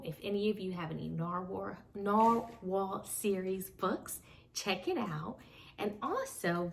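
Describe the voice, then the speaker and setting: A woman reading a picture book aloud to children, speaking slowly and expressively, talking directly to the kids. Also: lowish voice, creaky, a little hiss or hum. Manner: warm, slow and expressive, addressing kids. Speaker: storytime reader.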